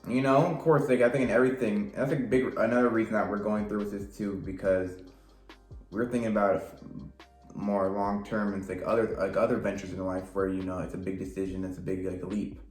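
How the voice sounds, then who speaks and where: A young man with a very deep voice speaks casually and haltingly, often stopping to find the right word. The room is echoey, with faint music in the background. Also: fairly even intonation, a little mellow. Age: young adult. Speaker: partner of the vlogger. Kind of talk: casual chat.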